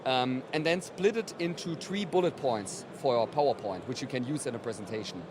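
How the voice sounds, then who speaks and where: A man's voice: medium pitch, a little fast. A man being interviewed as a company representative, explaining his product conversationally at a trade event. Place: busy exhibition hall. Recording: loud trade show floor noise.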